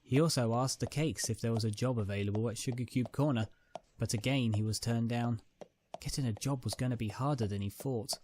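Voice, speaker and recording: A man's voice: mid-range voice, a little fast, a little mellow, a little hiss or hum. A man reading a scripted dramatic story as its narrator.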